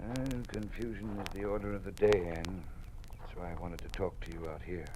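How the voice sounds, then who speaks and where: Old dean male actor: deep, weary, guarded, fatherly and formal; vintage radio drama, slightly noisy recording. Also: flat delivery, a little fast, lightly acted. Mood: weary, confiding. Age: older. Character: weary, cautious old male college dean living in fear.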